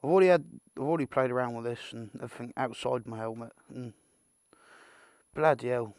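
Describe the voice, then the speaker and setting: A man's voice: mid-range voice, fairly quick, darkish tone, dry recording, clean studio sound. A British man giving a casual, unscripted product unboxing, rambling and muttering asides to himself with the odd mild swear. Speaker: amateur video creator presenting an unboxing.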